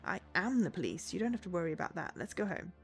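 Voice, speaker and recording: A woman voicing a blunt, gruff but protective cyborg police officer while reading a visual novel aloud. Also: fairly low pitch, very animated, fast, faint music behind. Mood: reassuring. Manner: blunt, swearing.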